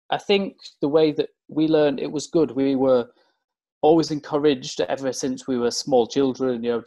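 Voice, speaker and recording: A man's voice: mid-range voice, a little mellow, dry recording. A young man from Cumbria in England, chatting as a guest in an interview, hesitant and full of fillers and restarts. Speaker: guest, open-water swimmer. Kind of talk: casual interview chat.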